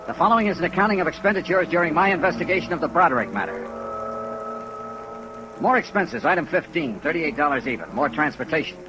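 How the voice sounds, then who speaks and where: A man's voice: on the high side, natural voice, poor audio. A man playing a hard-boiled insurance investigator in 1950s radio detective style, clipped and businesslike. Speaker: lead radio actor and narrator. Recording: old radio recording with faint hiss.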